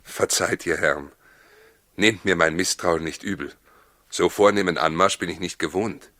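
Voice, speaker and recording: A man's voice: sits low, moderate pace, sharp tone, no room sound, studio quality. An actor playing a humble, guarded, plain-spoken husband and father in a 1960s radio drama. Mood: apologetic, welcoming. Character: wary, humble husband guarding his newborn child.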